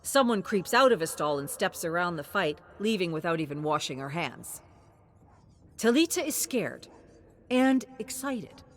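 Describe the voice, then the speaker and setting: A woman reading a scripted dramatic story in an expressive, measured way, in short phrases. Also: lowish voice. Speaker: narrator.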